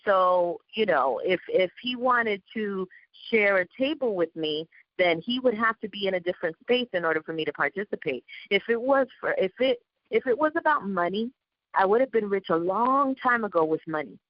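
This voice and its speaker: A woman giving spiritual advice in conversation, calm and self-assured. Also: medium pitch, normal speed, no echo, lo-fi sound. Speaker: spiritual guest.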